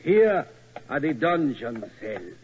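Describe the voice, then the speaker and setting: An actor playing a fussy middle-aged tower keeper who talks in stiff, inverted phrases, old muffled radio recording. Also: male voice, medium pitch, very animated, takes it slowly, a little hiss or hum. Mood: formal. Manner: formal, inverted word order. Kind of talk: 1940s radio acting.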